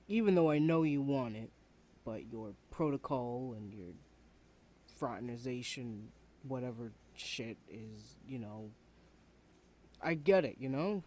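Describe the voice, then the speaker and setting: A man playing a rude, defensive young university student in an intimate ASMR roleplay, talking in a sulky, halting way. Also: mid-range voice, darkish tone, close to an everyday voice. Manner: sulky, halting, breathy sighs, swearing.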